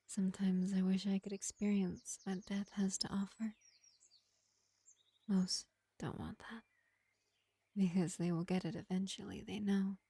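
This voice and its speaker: A woman playing a gentle, ancient pacifist vampire in an intimate fantasy roleplay, speaking in an unhurried, soothing half-whisper. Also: mid-range voice, slightly rough, no room sound. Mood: grave, musing. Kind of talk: slow intimate ASMR-style fantasy roleplay.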